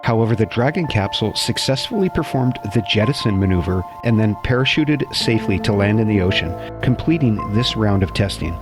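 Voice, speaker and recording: A man's voice: fairly low pitch, expressive, a little fast, hardly any pauses. A man hosting a web show, reading scripted science news as narration. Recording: voice-over with music bed.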